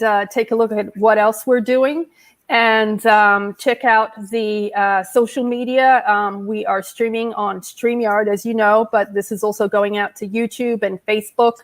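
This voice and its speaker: A woman hosting an online film festival Q&A in a relaxed, conversational way with frequent ums. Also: fairly high pitch. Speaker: festival host.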